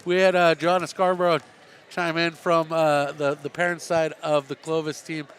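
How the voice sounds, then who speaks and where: Chatty man calling a high school game. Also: flat delivery, normal speed, a bit bright, slight background noise. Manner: chatty, joking, frequent restarts. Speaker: play-by-play broadcaster. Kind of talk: sports commentary and postgame interview.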